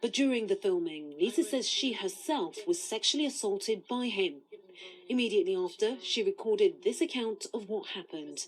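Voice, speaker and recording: A woman's voice: medium pitch, animated, moderate pace, low-quality recording. A woman narrating a scripted television news report as a correspondent.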